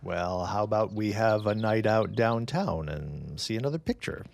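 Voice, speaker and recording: An actor playing a courteous, earnest gentleman in a period audio drama, with a genial, expressive manner. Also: lowish voice, moderate pace, vocal fry, no echo. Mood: eager, charming. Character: courteous, earnest widowed man, eager suitor, 1910s drama.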